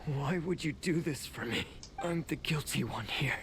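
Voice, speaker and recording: An actor playing a guilt-ridden, bitter oni outcast in a video game, intense and dramatic. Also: male voice, a little high, normal speed, gravelly, background noise. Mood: guilty, subdued. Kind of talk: video game cutscene acting.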